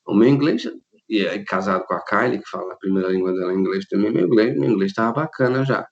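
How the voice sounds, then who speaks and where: A middle-aged Brazilian man talking in an interview, animated and quick to laugh, often restarting his sentences. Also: on the low side, creaky, dull audio. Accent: Brazilian Portuguese. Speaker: interview guest, lighting designer. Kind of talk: relaxed conversational interview.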